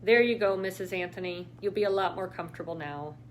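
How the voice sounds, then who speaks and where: A woman's voice: lowish voice, fast, a little hiss or hum, close to an everyday voice. Woman reading as a nurse with a Vietnamese accent. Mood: soothing. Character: stubby female nurse at a care home.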